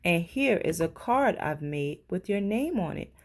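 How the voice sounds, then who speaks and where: A woman's voice: fairly low pitch, very animated, measured pace, heavily acted. A woman voicing an affectionate little girl devoted to her toy bear in a children's storybook. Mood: fondly scolding. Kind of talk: children's storybook read-aloud.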